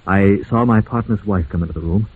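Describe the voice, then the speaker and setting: A man playing a smooth, deceitful businessman in an old-time radio mystery, on a muffled vintage recording. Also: fairly low pitch, brisk. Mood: grave, confiding. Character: smooth deceitful businessman framing his partner's wife.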